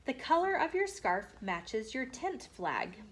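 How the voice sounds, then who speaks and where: A woman's voice: high-pitched, very animated, slow, heavily acted. A woman voicing a courteous, capable noble lady in a children's storybook. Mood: instructing.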